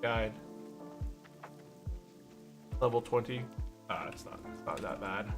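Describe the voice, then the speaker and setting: A man's voice: on the low side, slow pace, music in the background. A man chatting casually on a gaming livestream, rambling and thinking aloud as he plays. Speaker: livestream host, gamer.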